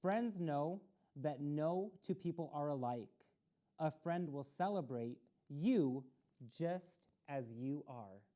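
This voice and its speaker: A woman's voice: low-pitched, lively intonation, slow pace, dark tone. A woman hosting a children's story time, reading aloud and explaining things simply, posing questions to young listeners.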